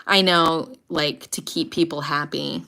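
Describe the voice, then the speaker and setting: A woman in her thirties from the United States, chatting on a livestream in a loose, rambling way with plenty of swearing. Her voice is bright and forward, sitting a little low for a woman. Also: fairly even intonation, a little slow, creaky. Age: 30s. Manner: chatty, swearing, laughing often. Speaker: livestream host. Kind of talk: casual livestream chat reading comments.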